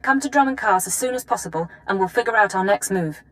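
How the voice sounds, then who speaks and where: An actress playing a brisk, authoritative female intelligence chief briefing an agent in a video game. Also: medium pitch, lively intonation, fairly bright tone, lightly acted. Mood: urgent. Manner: crisp, authoritative.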